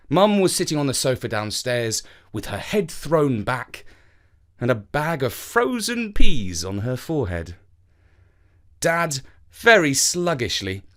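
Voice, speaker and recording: A man narrating a children's story in an expressive storytelling manner. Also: a little high.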